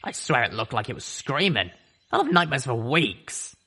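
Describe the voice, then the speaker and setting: A man voicing a gruff, sardonic female griffin mercenary who chain-smokes, with a drawl. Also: mid-range voice, big pitch swings, normal speed, sharp tone, voice pushed up, exaggerated voice. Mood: blunt. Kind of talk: audiobook character voice.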